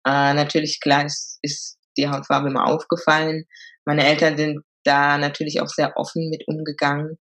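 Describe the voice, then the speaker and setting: A woman's voice: low voice, monotone, normal speed, fairly bright tone. A woman, telling her story as a podcast guest, hesitant, with many fillers. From Germany. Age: 20s. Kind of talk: casual interview talk.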